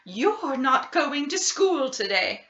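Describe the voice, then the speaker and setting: A woman voicing an excitable, bossy mother in a children's storybook, with shrill, theatrical delivery. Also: takes it slowly, reverberant, lo-fi sound, exaggerated voice. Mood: stern.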